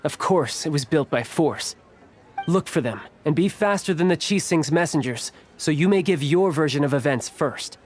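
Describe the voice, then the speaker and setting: A man acts out a cunning young diplomat in a fantasy game. His voice sits a little high and moves with smooth, playful theatricality. There is faint noise behind him. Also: expressive, moderate pace, close to an everyday voice. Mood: intent, urging. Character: suave, playful young male foreign envoy with hidden cunning. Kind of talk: fantasy video game voice acting.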